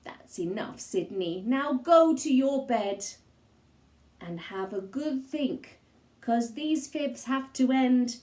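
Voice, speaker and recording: A woman's voice: high-pitched, lively intonation, takes it slowly, a little mellow, reverberant. A woman voicing a stern, exasperated mum in a children's story, firm and admonishing.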